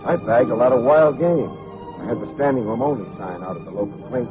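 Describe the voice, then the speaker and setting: An actor playing a wry, self-assured hard-boiled private detective in a vintage radio drama, full of sardonic slang. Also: male voice, mid-range voice, a little fast, music in the background, close to an everyday voice. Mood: dry narration. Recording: muffled, tinny vintage radio recording.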